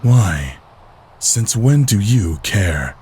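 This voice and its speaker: A stern, sceptical male antihero from a game, very deep in pitch. He talks gravely and bluntly, dismissive of his opponent, with clear noise and ambience behind him. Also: very animated, very slow, a little mellow, full character voice. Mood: sceptical challenge. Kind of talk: dramatic video game voice acting.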